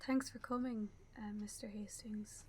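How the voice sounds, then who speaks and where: An actress playing a grieving young Irish mother in a radio play, soft and breathy, gentle and weary in her coaxing. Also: mid-range voice, fairly even intonation, unhurried, slight background noise, lightly acted. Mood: subdued, hesitant.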